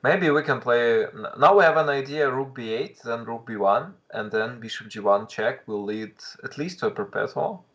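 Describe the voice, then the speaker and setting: A man's voice: medium pitch, moderate pace, hardly any pauses, a bit bright, lo-fi sound. A young man with a Russian accent, commentating a live chess stream in English, thinking aloud with frequent restarts and greeting viewers in chat.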